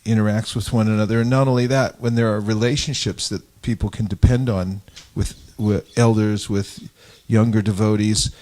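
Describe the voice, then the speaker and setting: A man with a fairly low voice takes part in a spiritual discussion as a teacher. He explains his observations informally, feeling his way through sentences in a reflective, halting manner. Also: fairly even intonation, darkish tone. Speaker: religious teacher in a group discussion.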